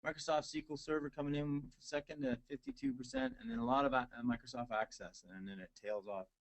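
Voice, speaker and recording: Easygoing nova scotia guy walking through a software demo, lots of uhs. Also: on the high side, big pitch swings, quick pace, gravelly. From Nova Scotia, Canada. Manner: easygoing, filler-heavy, hesitant. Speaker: technical presenter. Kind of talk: casual webinar presentation.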